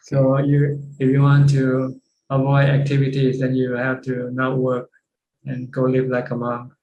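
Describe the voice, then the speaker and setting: A man's voice: medium pitch, completely flat delivery, unhurried, narrow, tinny audio. A man, a Buddhist monk with a Thai accent, patiently explaining Buddhist practice over a video call, often repeating words as he goes. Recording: echoey video call audio.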